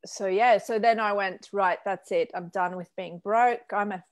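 A woman in her forties from Switzerland, a physiotherapist guest telling her story in a chatty, frank, animated way over a video call from her living room. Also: medium pitch, measured pace, dry recording. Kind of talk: casual personal storytelling.

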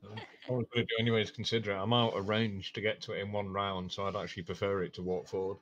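Very fast, low, flat-toned man. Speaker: tabletop game player. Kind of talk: casual game talk. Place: indoor room, online video call. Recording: dull, muffled voice-call audio.

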